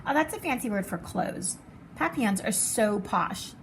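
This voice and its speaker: A woman reading as an excitable, fancy little girl from a storybook, dramatic and showy with her favorite words. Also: medium pitch, normal speed, a little hiss or hum, lightly acted. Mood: excited, proud.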